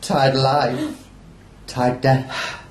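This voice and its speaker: Woman doing lovesick young maiden, shakespeare radio play, slow and theatrical, echo on it. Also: a bass voice, very animated, a little hiss or hum, full character voice. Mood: lovelorn. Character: lovelorn young maiden in a clumsy play-within-a-play. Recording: roomy, echoing amateur recording.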